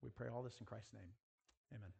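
A man's voice: fairly low pitch, fairly quick, gravelly, warm tone, slightly rough. A man preaching earnestly in a school chapel style, exhorting his listeners and addressing them directly. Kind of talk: sermon with rhetorical questions and prayer.

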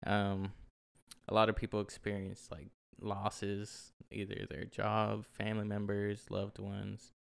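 A man's voice: lowish voice, completely flat delivery, a little slow, creaky, smooth. A man in his twenties from Oklahoma, co-hosting a casual podcast with lots of ums and easy laughs. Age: 20s. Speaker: podcast co-host. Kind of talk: casual chat. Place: shoe room at home.

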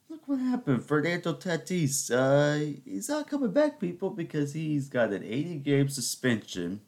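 A man hosting his own podcast at home, talking in a rambling, sarcastic way and getting worked up. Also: fairly low pitch. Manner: keeping voice down early on. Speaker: podcast host. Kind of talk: casual solo musing. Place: home, in the morning.

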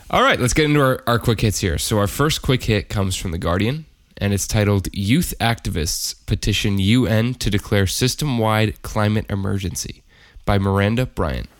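A man's voice: on the low side, normal speed, no room sound, studio quality. A man co-hosting a podcast, talking in a laid-back, jokey way and sometimes restarting his sentences. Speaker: producer and co-host. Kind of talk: casual podcast chat.

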